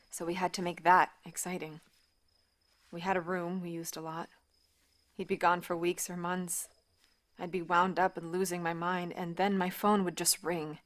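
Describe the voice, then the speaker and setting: Actress as open earnest woman in a radio play, voice a bit low, conversational and candid. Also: natural voice. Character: warm, candid woman caught up in a smuggling scheme. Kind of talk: naturalistic audio drama acting.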